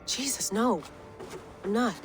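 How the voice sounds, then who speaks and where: An actress playing a troubled, guarded field operative in a video game, earnest and uneasy, speaking hesitantly. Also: female voice, a little high, expressive, slow. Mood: defensive, troubled. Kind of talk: naturalistic video game acting. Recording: music playing behind the voice.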